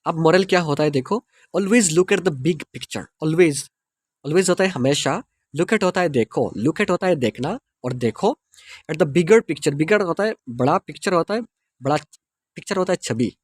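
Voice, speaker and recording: A man with an Indian accent reads a moral story aloud to teach English. He spells out words and translates them into Hindi. His voice is high and very animated, recorded dry and close to the mic. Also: breathy edge, dry recording. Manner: reads English lines slowly, then explains quickly in Hindi. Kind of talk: reading a story aloud and explaining it word by word.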